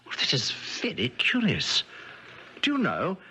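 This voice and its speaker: An actor playing an earnest gentleman's secretary in a 1970s radio mystery, proper and hesitant, easily amazed. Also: on the high side, huge pitch swings, unhurried, slight background noise, full character voice. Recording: dull vintage radio recording.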